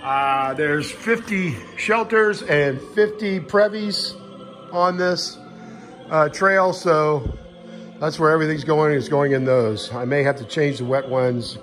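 Man casually talking, slightly higher-pitched voice. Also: slow, fairly bright tone, faint music behind. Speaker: hiker showing gear. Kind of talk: casual unscripted gear walkthrough. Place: indoors in a home living room. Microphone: handheld phone camera, speaker behind it.

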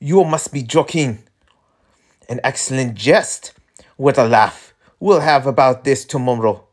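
A performer voicing an indignant, haughty gentleman captive in a formal, theatrical manner. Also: medium pitch, measured pace, close to an everyday voice. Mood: sarcastic.

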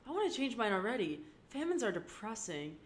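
A woman's voice: on the high side, very animated, brisk, slight background noise, voice pushed up. A woman voicing a heartbroken teenage girl who speaks tearfully and sniffles. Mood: wry.

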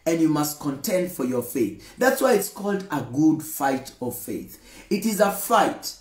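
High-voiced Kenyan preacher, echoey room. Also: male voice, big pitch swings, measured pace. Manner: emphatic, repetitive, gesturing preacher. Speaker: pastor. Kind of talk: livestreamed daily devotional sermon. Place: indoor room with screen and flowers.

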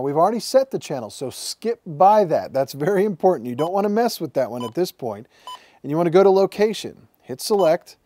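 A man's voice: fairly high pitch, dark tone, slight background noise. A man, a TV meteorologist walking viewers step by step through setting up a device in a studio. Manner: friendly step-by-step explaining, handling a radio. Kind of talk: instructional broadcast demo. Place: TV weather studio.

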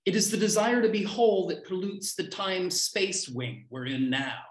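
Echoey middle-aged man reading poetry, higher-pitched voice. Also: lively intonation. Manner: declaiming short unconnected lines with deliberate pauses. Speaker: poet reading own poems. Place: small room at home. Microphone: computer mic on a video call. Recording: roomy, echoey home video-call sound.

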